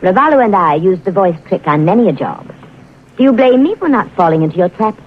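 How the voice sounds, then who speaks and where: A woman's voice: mid-range voice, big pitch swings, a little fast, close to an everyday voice. Woman playing passionate enemy agent, imploring, swooping delivery, 1940s radio acting, hissy tinny sound. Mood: defensive. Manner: impassioned, imploring. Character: passionate, ruthless female enemy agent, pleading lover.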